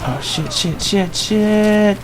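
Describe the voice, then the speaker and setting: A man's voice: sits high, takes it slowly, over music, rough recording. A man playing a game on a livestream, commenting casually on his moves with exclamations and swearing. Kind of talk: casual gameplay commentary, reacting aloud.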